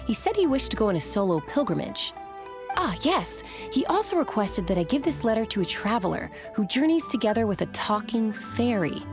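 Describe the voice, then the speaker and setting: Courteous game innkeeper: measured, lively intonation, music underneath. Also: female voice, medium pitch. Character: polite adult female innkeeper. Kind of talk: video game dub acting. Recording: game audio with music behind.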